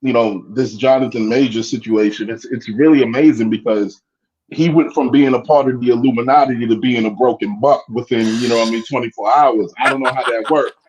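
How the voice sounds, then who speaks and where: A man's voice: mid-range voice, fairly even intonation, brisk, darkish tone. A man calling in, fired up and rambling, repeating himself as he makes his points. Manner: fired up, rambling, repeats "you know what I mean". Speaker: guest caller. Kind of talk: heated casual commentary. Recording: muffled lo-fi call audio.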